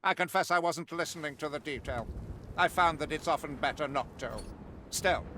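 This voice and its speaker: A man's voice: on the high side, normal speed, pushed and bright, slight background noise. A male actor playing a stern, fanatical witch hunter in a video game, declaiming in pompous, archaic, theatrical speech. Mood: wry, disdainful.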